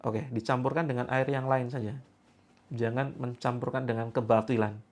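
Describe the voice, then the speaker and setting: A man's voice: medium pitch, steady tone, normal speed, a little mellow. A man in his sixties, a preacher leading a healing session for a live gathering, talking in a playful, teasing way and joking freely with his audience. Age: 60s.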